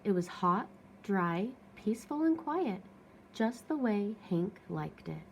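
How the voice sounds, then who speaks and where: Female storybook reader, mid-range voice, expressive read-aloud narration, audible background noise. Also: medium pitch, slow pace.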